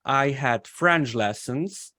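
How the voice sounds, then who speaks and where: A young man with a Swiss German accent, a guest in an interview who explains his background haltingly, with pauses and restarts. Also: medium pitch, bright, forceful tone. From German-speaking Switzerland. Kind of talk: casual interview talk.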